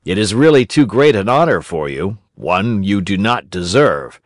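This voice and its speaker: A man reading aloud voices a hearty farmer from a storybook, pleased with himself and kindly. The voice is low and lively in its swings, recorded dry and close. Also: moderate pace, dry recording. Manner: hearty and self-satisfied. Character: grown male farmer, gruff but pleased and kindly.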